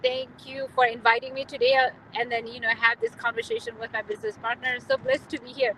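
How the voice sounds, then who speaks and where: Bright, high-voiced woman from Nepal, noisy car. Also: quick pace. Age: middle-aged. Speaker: interview guest. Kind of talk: casual live interview chat. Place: inside a car. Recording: street and car noise.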